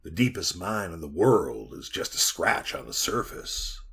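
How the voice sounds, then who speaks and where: Low, brooding old-radio mine guide. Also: male voice, big pitch swings, unhurried, fairly bright tone, husky, heavily acted. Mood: brooding, confiding. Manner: folksy, colloquial storytelling. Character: mine guide recalling a dreadful past, brooding and cocky. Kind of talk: retro 1940s radio drama acting.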